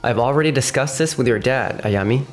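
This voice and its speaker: An earnest young businessman, played by a man in a romcom manga dub. His voice is medium in pitch and explanatory, set over background music. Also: fairly quick, natural voice. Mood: firm. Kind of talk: scripted manga dub acting and narration.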